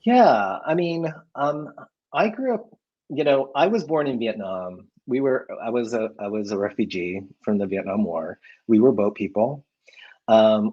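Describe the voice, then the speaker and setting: Low-voiced guy w a husky sound, lots of you-knows and restarts, muffled lo-fi stream audio. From United States. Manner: hesitant, many restarts and "you know" fillers. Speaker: guest filmmaker. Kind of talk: casual interview answers.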